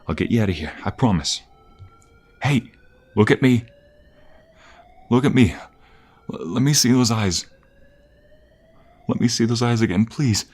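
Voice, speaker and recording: A man's voice: mid-range voice, expressive, measured pace, long pauses, a little mellow, breathy edge. A man playing a devoted, protective mobster lover in an ASMR roleplay, pleading emotionally and intimately with the listener. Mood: anxious, tender.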